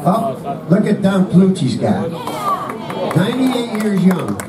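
Older man as folksy banquet emcee, a bit high-voiced, heard from the back of a noisy hall. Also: big pitch swings, slow pace. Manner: chatty, folksy asides to the crowd. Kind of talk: casual banquet emcee talk. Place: large banquet hall, indoors. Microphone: podium microphone, filmed from far. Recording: echoey hall from far off, crowd noise.

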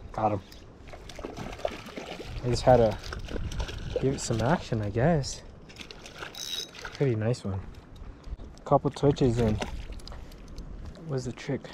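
A young man talks slowly and quietly while fishing at a mountain lake, admiring each catch as he goes. He is recorded outdoors on a body-worn action camera, with a steady hiss of water and wind behind his voice. His pitch sits in a middle range. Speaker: fishing vlogger. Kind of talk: casual fishing commentary.

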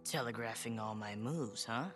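An actor playing a scrappy, defiant teenage street thief with an American accent and a cheeky manner, in a video game cutscene. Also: male voice, fairly low pitch, moderate pace, music in the background, lightly acted. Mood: cocky.

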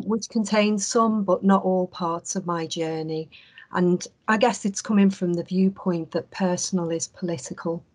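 A woman from England, a clinical psychologist, giving an earnest, measured webinar talk over a video call. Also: fairly low pitch, rough recording. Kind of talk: webinar presentation.

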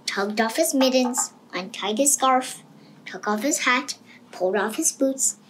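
A woman's voice: on the high side, unhurried, slight background noise, rough recording. A woman reading a children's storybook aloud as narrator. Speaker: storybook reader.

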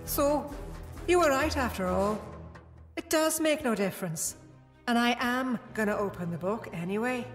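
A woman playing a calm, firm alien prison librarian in an amateur audio drama. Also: a little high, moderate pace, close to an everyday voice. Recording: reverberant hall with music.

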